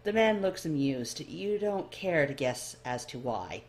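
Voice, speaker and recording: A woman reading fan fiction aloud as expressive scripted story narration. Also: deep voice, measured pace. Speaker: amateur fan fiction reader.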